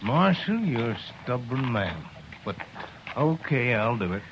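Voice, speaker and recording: A man's voice: medium pitch, big pitch swings, measured pace. A man acting an eager, loyal young deputy in a 1950s western radio drama, earnest and a little hesitant. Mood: eager, pleading. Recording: dull, hissy old radio recording.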